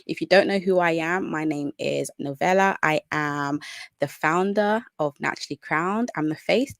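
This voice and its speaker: A young British woman chats brightly through a live stream, greeting viewers by name as she goes. Her voice carries a slight gravelly fry, and the sound is dry and close to the mic. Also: deep voice, no echo. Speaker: natural hair educator hosting a live Q&A.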